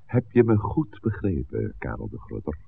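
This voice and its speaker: An actor playing a stern, shrewd village priest who scolds in a measured, formal way in a 1960s radio play. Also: male voice, a bass voice, big pitch swings, gravelly, heavily acted. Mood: stern, reproachful. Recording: tinny, noisy old radio recording.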